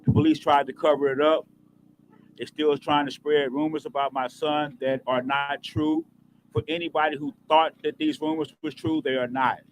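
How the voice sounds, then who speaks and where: A man's voice: a little high, little pitch movement, moderate pace, a little hiss or hum, low-quality recording. A middle-aged man making a plain-spoken public statement outdoors, as a bereaved father.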